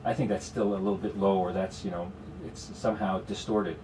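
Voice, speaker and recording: Canadian man, low voice, distant mic. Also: fairly even intonation, a little fast, mellow, slight background noise. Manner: explanatory, talks in long runs with frequent "you know". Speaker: guest investment strategist. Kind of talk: radio studio conversation. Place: radio studio.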